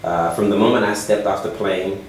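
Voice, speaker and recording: Interviewee: man, very low voice, measured and deliberate delivery, booming echoey room, faint hiss. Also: steady tone. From United States. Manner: measured, deliberate, many "uh" fillers. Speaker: volunteer teacher. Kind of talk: interview answers.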